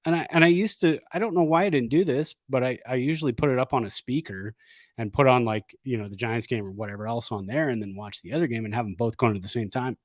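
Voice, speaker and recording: A man's voice: mid-range voice, fast, dry recording, studio quality. A middle-aged man co-hosting a sports podcast in casual, enthusiastic, rambling conversation. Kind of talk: casual chat.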